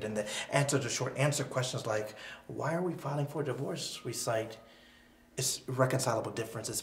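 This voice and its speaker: Echoey male spoken word poet, dramatic delivery. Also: medium pitch. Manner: dramatic, deliberate delivery with pauses and emphatic swells. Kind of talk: spoken word poetry performance. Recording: roomy echo with faint background noise.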